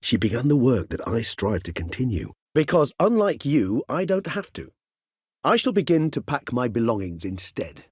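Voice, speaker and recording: A man's voice: mid-range voice, very animated, darkish tone, breathy edge, dry recording, studio quality. An actor voicing a cultured older male vampire in a video game, speaking formally and precisely. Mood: measured, explaining. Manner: erudite, formal, measured, wordy phrasing. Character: cultured, mild-mannered older male vampire, learned and courteous. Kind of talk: theatrical video game dialogue.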